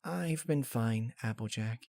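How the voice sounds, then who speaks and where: A man voicing a shy, gentle young female pony who speaks softly, slowly and hesitantly in a storybook reading. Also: mid-range voice, vocal fry, no room sound, studio quality. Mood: nervous, awkward. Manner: soft, slow, hesitant. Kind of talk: dramatic fan-fiction character reading.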